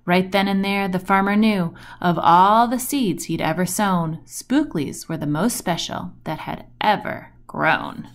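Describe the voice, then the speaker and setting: A woman reading a rhyming children's picture book aloud in an expressive, deliberate storytelling manner, stressing the rhymes and action words. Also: fairly low pitch, slight background noise. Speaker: storybook reader.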